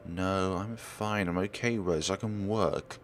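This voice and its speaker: A man's voice: deep voice, steady tone, slow, slight background noise. A man voicing a stubborn, plucky young female real estate agent in a visual novel, eagerly brushing off concern. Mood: insistent.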